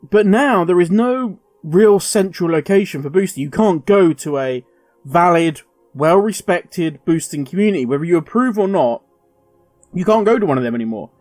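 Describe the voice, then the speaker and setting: A man's voice: high voice, animated, normal speed, darkish tone, dry recording, faint music behind. A man hosting a gaming video, giving emphatic, opinionated commentary straight to the viewer. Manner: emphatic, ranting, direct address.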